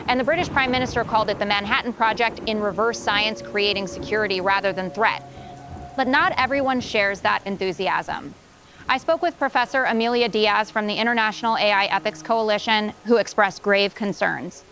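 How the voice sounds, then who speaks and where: A woman playing a serious television field reporter in an audio drama, speaking in a measured, formal news delivery. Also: medium pitch, fairly bright tone, natural voice.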